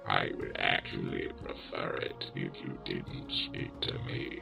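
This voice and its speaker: An extremely deep, heavily creaking male machine voice for a menacing droid commander in an audio comic. He growls out curt orders slowly and irritably, with a processed edge and music clearly behind him. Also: little pitch movement, exaggerated voice. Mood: irritated.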